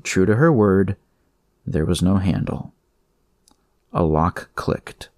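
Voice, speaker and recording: A man narrating scripted horror fiction in a measured way, with dramatic pauses. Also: low voice, lively intonation, a little mellow, no room sound, studio quality.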